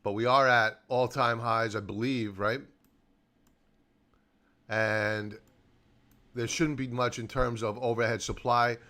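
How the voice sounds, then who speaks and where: Bright, forceful man giving live trading commentary. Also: medium pitch, fairly even intonation, slow, pauses often. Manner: emphatic, repeats key points for stress. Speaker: stock trading show host and technical analyst. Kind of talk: live market commentary over charts.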